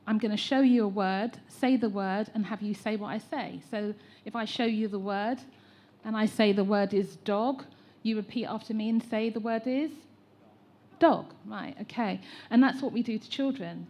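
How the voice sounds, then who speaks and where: A woman with an English accent, an educator giving an earnest, measured comment from the aisle at a public consultation. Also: mid-range voice, a little mellow. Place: public meeting hall, speaking from the aisle.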